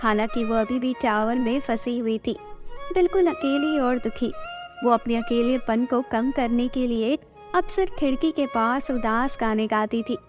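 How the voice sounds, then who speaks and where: A woman's voice: on the high side, unhurried, highs cut off. A woman narrator reading a scripted bedtime story for children. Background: background music.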